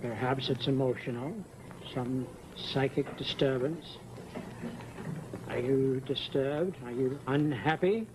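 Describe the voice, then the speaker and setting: An actor playing a grave, puzzled physician in an old-time radio drama, speaking in a measured, deliberate way. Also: male voice, medium pitch, close to an everyday voice. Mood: pensive. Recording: noisy old radio recording.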